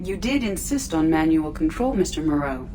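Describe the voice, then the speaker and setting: Starship artificial intelligence: low female register, precise, formal, unruffled game delivery. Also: animated, mellow, a little hiss or hum. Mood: calm, informative. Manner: measured, formal.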